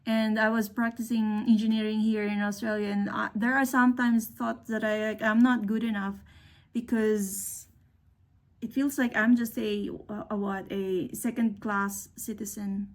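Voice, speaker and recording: A woman's voice: mid-range voice, level tone, moderate pace. A middle-aged woman from the Philippines, whose first language is not English, giving an earnest, motivational talk to young engineers, with fillers and restarts. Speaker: speaker.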